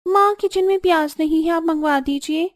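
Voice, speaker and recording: A woman's voice: extremely high pitch, normal speed. A woman voicing a meek, eager young daughter-in-law in a cartoon story, in a sweet, girlish, pleading manner. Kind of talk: Hindi cartoon story voice acting.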